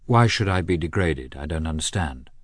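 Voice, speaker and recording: A man reading aloud and voicing a timid, gentle younger brother who speaks meekly. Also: a bass voice, animated, moderate pace, dry recording, studio quality. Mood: puzzled. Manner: meek and hesitant.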